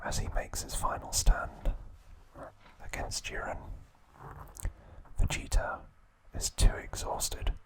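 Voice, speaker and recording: A man whispering ASMR trivia narration in a soft, steady voice, with casual asides now and then. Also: slow pace, pauses often, poor audio. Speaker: ASMR creator.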